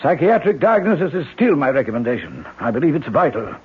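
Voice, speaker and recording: Grave country doctor, muffled old radio. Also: male voice, a little high, very animated, slightly rough, exaggerated voice. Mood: grave, insistent. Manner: measured, emphatic, formal. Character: frank, grave country doctor, a grown man. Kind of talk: 1970s radio drama acting. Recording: muffled 1970s radio drama recording.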